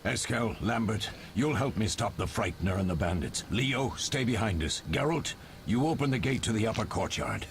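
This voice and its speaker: A man's voice: lowish voice, expressive, normal speed, slight background noise. An actor playing an older male witcher leader in a video game, giving clipped, authoritative orders. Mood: commanding, urgent. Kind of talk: video game cut-scene acting.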